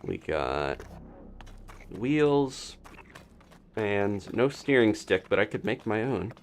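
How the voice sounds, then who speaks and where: A man with a low, gravelly voice streams a video game and talks through it casually. His pitch moves around a lot as he rambles playfully and mutters to himself while working things out. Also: sing-song, slow, a little hiss or hum. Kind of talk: casual live gameplay commentary, thinking aloud.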